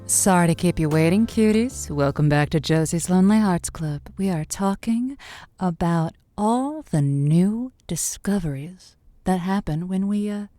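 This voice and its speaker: A woman's voice: lowish voice, unhurried, mellow, faint music behind, natural voice. An actress playing a quirky, chatty woman who hosts a lonely-hearts radio show, wordy and playfully self-deprecating. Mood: upbeat. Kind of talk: scripted fiction podcast, conversational radio-host patter.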